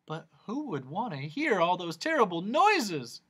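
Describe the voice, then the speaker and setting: A narrator playing young storybook boy, bright voice, hesitant, questioning, wary, slow pace. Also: high voice, huge pitch swings, breathy edge, voice pushed up, full character voice. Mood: suspicious.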